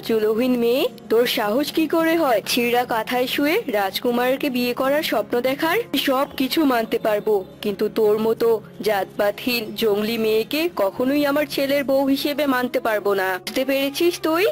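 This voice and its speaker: A woman's voice: fairly high pitch, normal speed, natural voice. A woman voicing an imperious, scheming queen mother in a cartoon. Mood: scornful. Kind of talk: cartoon character acting. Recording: music behind the voice.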